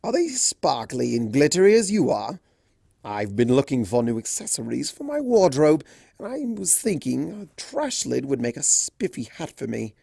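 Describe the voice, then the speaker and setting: A reader voicing a mischievous, theatrical male chimera trickster with a drawling, cooing, mock-flattering delivery. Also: medium pitch, moderate pace, vocal fry, breathy edge, no echo, studio quality, full character voice.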